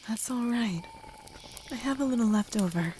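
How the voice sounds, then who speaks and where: A woman playing a kind, protective traveller in a gentle ASMR comfort roleplay, speaking softly with some whispering and reassuring slowly. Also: a little high, a little hiss or hum, lightly acted. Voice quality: soft, partly whispered. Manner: gentle, slow, soothing, reassuring.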